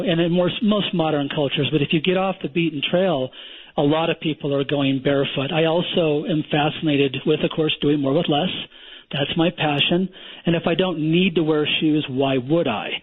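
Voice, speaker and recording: A survival instructor, a guest on a radio show, talking bluntly and emphatically like a lecturer. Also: male voice, on the high side, normal speed. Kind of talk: phone-in radio interview. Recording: thin phone line.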